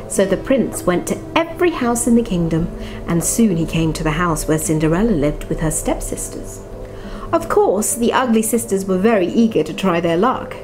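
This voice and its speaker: A woman reads a bedtime story in a soft, expressive storyteller's voice at a middle pitch. She slips into chatty little asides as she goes. Music plays clearly behind her. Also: poor audio.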